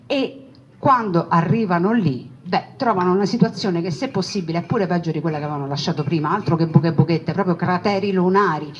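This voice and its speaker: A woman telling a story as a theatrical monologue, conversational and emphatic, with deliberate repetitions and asides to her listeners. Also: on the low side. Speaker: storyteller, stage narrator. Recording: dull lo-fi recording with clear background noise.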